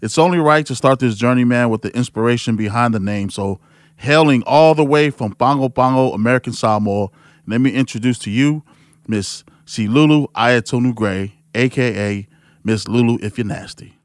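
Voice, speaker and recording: A man's voice: mid-range voice, normal speed, no room sound, studio quality. A man hosting a casual interview, hyped and laid-back, calling people "man". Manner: hype, laid-back, says "man" a lot. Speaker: guest host.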